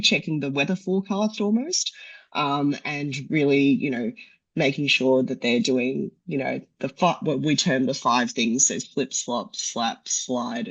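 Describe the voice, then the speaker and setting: A man with an Australian accent answering audience questions at a medical conference, measured, with lots of fillers. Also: mid-range voice, a bit bright, slightly rough, low-quality recording. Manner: measured, hedging, many fillers.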